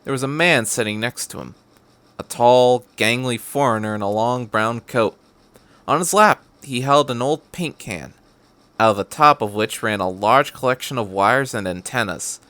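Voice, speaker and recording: A man's voice: medium pitch, moderate pace, sharp tone, dry recording. A man hosting a podcast and reading fan fiction aloud as scripted narration.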